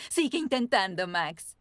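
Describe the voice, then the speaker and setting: A woman voicing a kindly, gently amused mother rabbit in a children's cartoon dub. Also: high-pitched, no room sound, full character voice. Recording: music under the voice.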